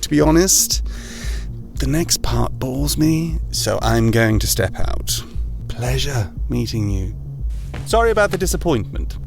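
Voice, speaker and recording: An urbane vampire overlord played by a man talks down to everyone in an amused, theatrical drawl. The delivery is lively and gravelly, with faint music underneath, in the manner of an audio drama villain. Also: medium pitch, measured pace. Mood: amused, condescending.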